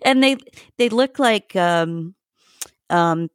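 A woman's voice: fairly low pitch, animated, takes it slowly, clean tone, no room sound, studio quality. A woman hosting a casual podcast, chatty and giggly, swearing now and then. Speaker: podcast host.

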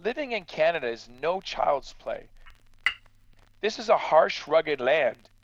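An actor playing a stern, practical farmer in a radio drama, blunt and admonishing but fair-minded. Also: male voice, a little high, very animated, a little slow, dull audio. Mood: stern, dismissive. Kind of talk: true crime radio drama acting.

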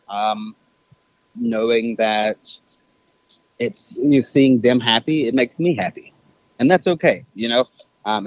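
A man's voice: mid-range voice, dry recording. Man on a dull phone line. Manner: thoughtful, many fillers and self-corrections. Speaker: podcast guest, business owner. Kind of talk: casual interview talk. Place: on a phone call.

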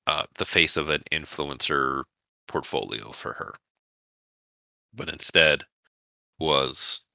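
A man working as game master, narrating a tabletop vampire roleplaying game in a measured, explanatory way. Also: very deep voice, pushed and bright, no room sound, lo-fi sound.

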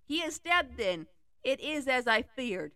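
A woman voicing a grieving male dwarf who speaks slowly and mournfully. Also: high voice, big pitch swings, fairly bright tone, clear, resonant tone, dry recording, studio quality, exaggerated voice.

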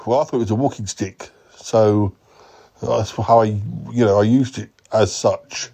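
A man voicing a clueless male yeti uncle who talks slowly and hesitantly. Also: fairly even intonation, mellow, husky. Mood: bemused. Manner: slow, hesitant, wandering speech with a higher voice.

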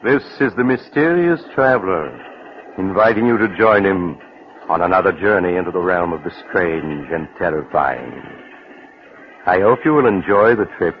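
An actor playing a sinister yet genial mysterious storyteller, narrating a 1940s radio mystery with theatrical, ominous phrasing. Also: male voice, low-pitched, expressive, normal speed. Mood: ominous, teasing. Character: sinister, genial mysterious male storyteller relishing macabre tales. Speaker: host narrator. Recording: muffled, noisy old 1940s radio recording.

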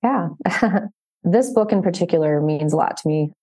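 A woman's voice: on the low side, expressive, moderate pace, dark tone, breathy edge. A woman chatting as an interview guest, slightly hesitant and giggly in manner. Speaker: podcast guest, children's book co-author. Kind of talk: casual interview chat.

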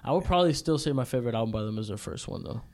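A man's voice: mid-range voice, expressive, rapid-fire, a little mellow. A man hosting a podcast in casual chat, swearing freely and peppering his talk with bro and dude. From Dominican background. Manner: slangy, profane, says bro and dude often. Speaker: podcast host.

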